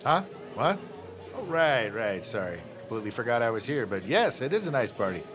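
An actor playing a genial, absent-minded politician husband in an audio comedy, dithering and halting as he talks. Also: medium pitch, sing-song, over music, natural voice. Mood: startled, vague.

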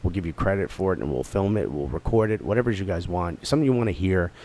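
A man's voice: deep voice, animated, mellow. A man with a North Jersey accent co-hosting a podcast, joking and chatting casually. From New Jersey area. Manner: fast-talking, joking, plugs the show. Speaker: podcast co-host. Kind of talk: casual chat.

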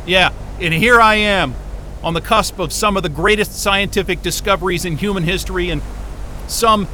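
An actor playing a weary, disgruntled scientist who mutters sardonic, profane asides in a naturalistic audio drama, with noise around him. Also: male voice, sits high, measured pace, sharp tone, low-quality recording. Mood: bitter. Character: weary, disgruntled male astrophysicist talking to his dog.

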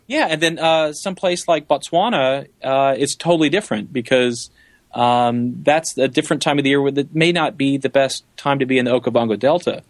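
A man's voice: medium pitch, fairly quick, dry recording. A man with a mid-range voice talks as an interview guest. He explains things eagerly and conversationally, like a guide who loves the job. The sound is dry, with hardly any room around him. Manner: enthusiastic, explanatory.

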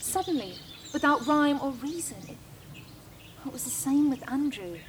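Actress as indignant worried woman, higher voice, emphatic, bitter, naturalistic audio play, clear birdsong behind. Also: expressive, normal speed. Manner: emphatic, exasperated, repeating herself. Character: anxious, indignant, bitter sister. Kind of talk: naturalistic audio drama acting. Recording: clear outdoor bird noise. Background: birdsong, outdoor ambience.

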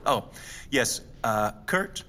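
An actor playing a greedy, bitter rich heir in an audio drama, haughty and sardonic with theatrical petulance. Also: male voice, mid-range voice, lively intonation, extremely slow, bright, forceful tone, slight background noise, heavily acted. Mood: smooth politeness. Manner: haughty, sardonic, swooping delivery. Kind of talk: dramatic audio fiction acting.

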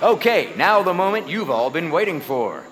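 A man's voice: a little high, big pitch swings, normal speed, fairly bright tone. An actor playing a hearty, grandiose small-town announcer with theatrical showman patter in an audio drama. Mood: showy. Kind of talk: stage announcing in audio fiction.

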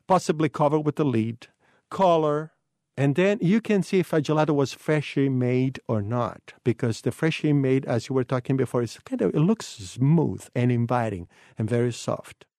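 A man's voice: mid-range voice, normal speed, warm tone, no echo, studio quality. A man with an Italian accent, a tour guide from Italy, explaining emphatically as a guest on a radio show.

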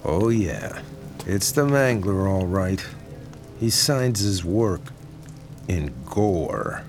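Wry, crooked police lieutenant. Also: male voice, deep voice, lively intonation, very slow, full character voice. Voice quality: dry, sardonic humor. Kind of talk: radio drama acting. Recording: radio drama with music behind.

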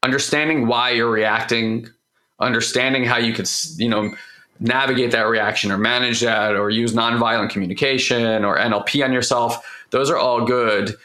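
Hoarse man talking from the heart. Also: mid-range voice, fairly even intonation, normal speed, pushed and bright. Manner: open, emotional, rambling with restarts. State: hoarse, recovering from losing his voice. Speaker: host of a personal growth talk. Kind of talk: candid heartfelt monologue.

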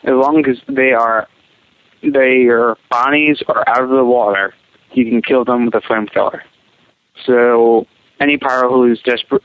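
A man leaving a voicemail, giving a gameplay tip in deliberate, short measured phrases. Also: medium pitch, no echo. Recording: tinny narrow phone line voicemail.

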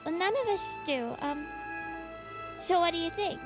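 An actress playing a timid, hopeful young convention-goer with a tentative, apologetic way of talking. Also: female voice, very high voice, animated, a little slow, music in the background.

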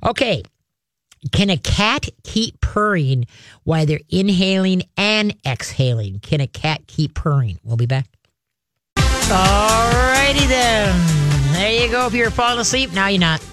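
A woman's voice: medium pitch, sing-song, measured pace, fairly bright tone, faint music behind. A woman in her sixties hosting a pet care call-in radio show, talking in a folksy, rambling, chatty way into a studio microphone. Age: 60s.

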